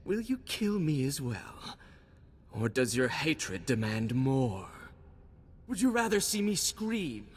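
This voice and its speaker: Slow, menacing Sith villain. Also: male voice, mid-range voice, sing-song, husky, in a large hall, full character voice. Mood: bitter taunting. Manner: taunting, theatrical, drawn-out delivery. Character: bitter, menacing captured Sith villain, defiant and mocking. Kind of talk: video game voice acting.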